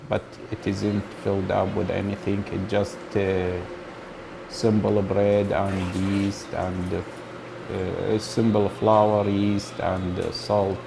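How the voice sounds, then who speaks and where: A man from Iraq, a grocery store owner speaking haltingly in a documentary interview, often pausing to find his words. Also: lowish voice, creaky, background noise.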